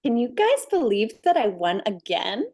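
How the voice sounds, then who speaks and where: A woman's voice: on the high side, sing-song, normal speed, darkish tone. A woman playing a smug, gushing eighteen-year-old pageant winner at a script table read. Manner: bragging, gushing.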